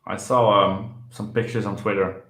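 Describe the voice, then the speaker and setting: Low-voiced host joking on a video call. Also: male voice, normal speed, echoey room. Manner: laughs often, relaxed and joking. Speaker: podcast host. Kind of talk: casual chat on a video call.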